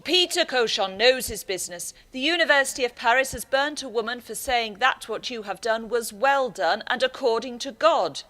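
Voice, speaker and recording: An authoritarian prince of the church, played by a woman in a radio play. The voice sits fairly high, and the delivery is severe, formal and full of admonishment. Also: expressive, normal speed, hardly any pauses, fairly bright tone. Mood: grave warning. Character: stern, authoritarian high churchman, warning and judgemental.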